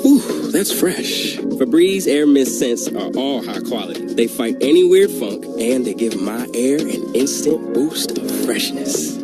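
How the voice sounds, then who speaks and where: A man's voice: mid-range voice, sing-song, a little slow, few pauses. Chatty male testimonial ad voice. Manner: chatty, personal. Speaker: ad voice-over. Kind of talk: testimonial-style ad read. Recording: loud music bed behind.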